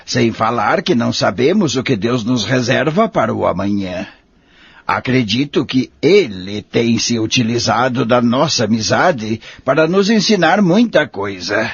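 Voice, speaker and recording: An actor playing a kindly, patient old man in an audio drama, raspy and gravelly, gently persuading and moralizing. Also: mid-range voice, expressive, unhurried, no echo, dull audio, heavily acted. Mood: earnest. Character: kindly, patient old spiritist friend, an elderly husband.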